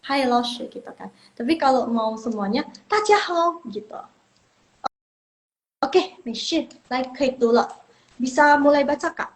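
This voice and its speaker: Brief: young Indonesian woman teacher, fairly high voice, chatty and reassuring, repeats herself. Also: expressive, normal speed. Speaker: Mandarin teacher. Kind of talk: casual live online lesson.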